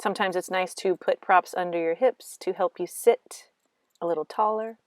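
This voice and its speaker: A woman guiding a meditation in slow, gentle instructions with long pauses between phrases. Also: mid-range voice, dry recording, studio quality. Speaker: meditation guide. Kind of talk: guided meditation narration.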